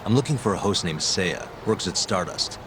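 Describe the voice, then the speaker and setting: Game detective, lowish voice, deadpan, polite, easygoing, noisy city backdrop. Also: male voice, moderate pace. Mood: dry, polite. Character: dry, laid-back male private detective, ex-lawyer. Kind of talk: English video game dub, conversational.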